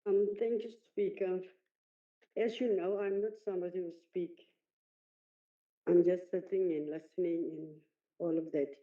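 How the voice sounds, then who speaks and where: A woman's voice: fairly low pitch, fairly even intonation, moderate pace, pauses often. Woman councillor, Afrikaans accent, earnest pleading tone, tinny phone-like audio. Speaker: municipal councillor. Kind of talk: council meeting remarks.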